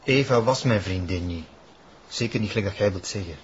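An actor playing an uneasy, defensive young cult follower in a TV drama, with a Flemish accent. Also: male voice, fairly low pitch, brisk, dull audio, a little hiss or hum, lightly acted. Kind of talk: tense TV drama dialogue.

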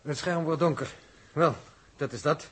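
A cool expedition leader in a 1960s radio play. He has a somewhat high, very rough-edged voice and speaks in formal, decisive, unruffled phrases. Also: normal speed, a bit bright. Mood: calm, resolute. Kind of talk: 1960s radio drama acting.

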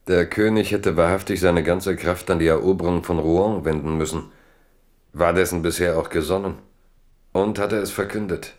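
A man narrating a historical radio drama in a measured, literary, dryly ironic manner. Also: low-pitched, fairly even intonation, darkish tone, lightly acted. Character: measured, ironic male chronicler of a royal history.

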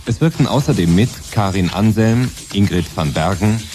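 A man's voice: on the low side, animated, moderate pace. A man reading radio drama credits as a formal scripted broadcast announcement. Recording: music behind the voice.

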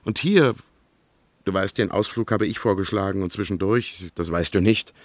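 A soft-hearted, earnest friend in a German radio play. The voice is on the low side, in a very dry room. He phrases things carefully and hesitantly, as if confiding. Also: moderate pace, no room sound.

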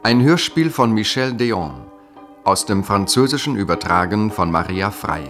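A man's voice: on the low side, big pitch swings, normal speed. A man announcing a radio play in a formal, scripted 1960s broadcast style. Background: music.

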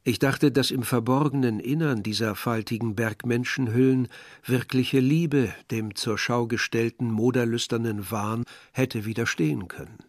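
Radio drama: man playing a brooding village narrator, measured and bitter, medium pitch, very dry close sound. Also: fairly even intonation, dry recording, close to an everyday voice. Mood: reflective. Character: reflective Algerian village man recalling war and dead brother. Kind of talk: literary radio play narration. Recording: dry studio, faint music behind.

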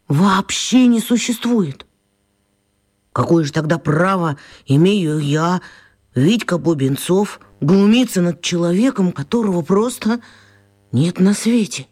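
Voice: medium pitch, a little mellow, slightly rough. Schoolboy lead, children's radio drama: troubled, guilty, given to dramatic musing. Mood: anguished, pondering. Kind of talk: children's radio play acting.

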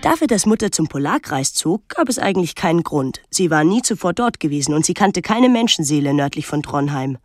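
Young island woman narrating radio drama, reflective n lyrical, measured, music behind her. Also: sits low, very animated, no echo, clean studio sound. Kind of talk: literary first-person radio drama narration. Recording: music under the voice.

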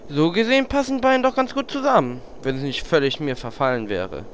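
A man's voice: on the high side, animated, a little fast, slight background noise, lightly acted. A man playing a vain, smug show-off in a German parody audio play. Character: vain, self-adoring dancing show-off.

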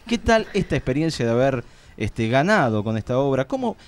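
An Argentine man hosting an informal live radio interview, talking in a halting, conversational way and repeating himself. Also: mid-range voice, sing-song. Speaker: radio host interviewing theatre guests.

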